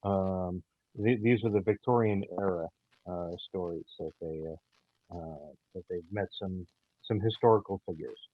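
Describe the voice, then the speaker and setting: Male interviewee, low-pitched and hesitant, thin phone-like sound with room echo. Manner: hesitant, halting, many pauses. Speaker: audio drama creator and writer. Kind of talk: halting interview talk. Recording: tinny, band-limited call audio, roomy echo.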